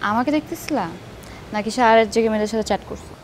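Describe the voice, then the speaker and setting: A woman's voice: fairly high pitch, very fast, slight background noise, lightly acted. A woman with a Bangladeshi Bengali accent playing a teasing, sceptical young girlfriend in a TV drama, coy and chiding as she questions. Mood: sleepy, teasing. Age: young adult. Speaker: actor. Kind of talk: naturalistic TV drama dialogue.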